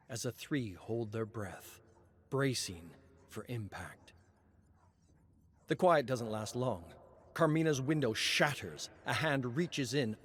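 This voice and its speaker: A man reading scripted narration as a storyteller, solemn and measured, with dramatic pauses. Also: mid-range voice, fairly bright tone.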